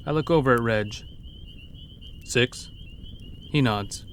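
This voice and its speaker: A man's voice: mid-range voice, slow pace, slight background noise. A man narrating a scripted first-person horror story as an uneasy logging worker.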